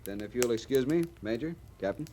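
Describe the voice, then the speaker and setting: An actor playing an awkward, polite young cavalry lieutenant in a radio western, speaking hesitantly and earnestly. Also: male voice, medium pitch, lively intonation, brisk, warm tone, natural voice. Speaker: junior cavalry officer. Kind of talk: old-time radio western acting. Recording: noisy old radio recording.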